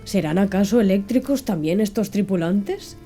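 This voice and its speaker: A woman's voice: medium pitch, expressive, moderate pace, dark tone, soft background music, close to an everyday voice. A woman voicing a brash, curious Canadian harpooner who fires off blunt questions, for a storybook reading. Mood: suspicious.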